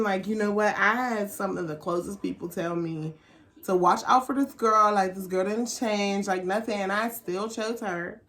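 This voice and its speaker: A woman vlogger telling a personal story in a casual, animated, slangy chat, acting out what people said. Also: medium pitch. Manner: animated, slangy, candid storytelling with acted-out quotes.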